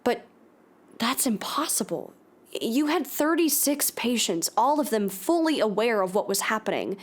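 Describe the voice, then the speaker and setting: A woman's voice: on the high side, very animated, measured pace. A woman acting as an insistent, anxious podcast host questioning a witness in an audio drama. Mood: incredulous, probing. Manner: probing, urgent questioning.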